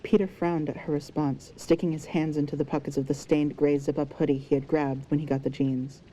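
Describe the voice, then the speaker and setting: A woman's voice: deep voice, level tone, brisk, mellow, rough recording. A woman narrator reading scripted fan fiction as an audio story. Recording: faint background noise and ambience.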